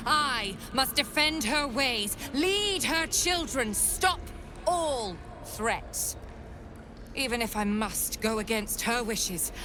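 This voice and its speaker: An actress playing an imperious, zealous female cult leader in a video game, speaking in a grandiose, deliberate and commanding way. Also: a little high, noisy background. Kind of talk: dramatic video game voice acting.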